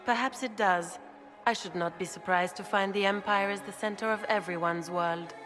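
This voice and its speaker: A woman's voice: medium pitch, steady tone, normal speed, fairly bright tone, natural voice. A woman playing a poised, scheming grand duchess in a fantasy video game, with a formal, insinuating manner. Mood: arch. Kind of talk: dramatic video game dialogue. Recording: hall reverb with faint music.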